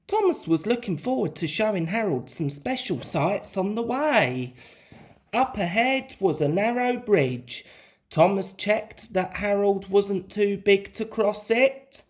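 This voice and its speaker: A woman reading a children's storybook aloud in an expressive, deliberate way. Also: mid-range voice. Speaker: storybook reader.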